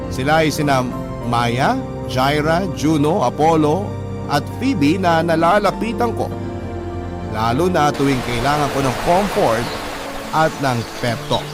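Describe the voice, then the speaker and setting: A man's voice: fairly high pitch, expressive, measured pace. A man narrating a letter in an earnest, confessional tone with polite, formal phrasing. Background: loud music.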